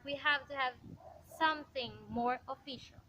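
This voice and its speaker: A woman's voice: high voice, steady tone, normal speed, bright, forceful tone, muffled recording, a little hiss or hum. A woman in an amateur radio play, playing a polite telephone operator with a formal, unruffled official manner. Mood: firm.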